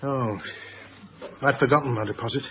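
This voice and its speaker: An actor playing a genteel, melancholy middle-aged English art dealer in a radio drama, literate and precise in manner. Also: male voice, medium pitch, steady tone, normal speed, dull audio, natural voice. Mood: resigned. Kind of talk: radio drama narration and dialogue.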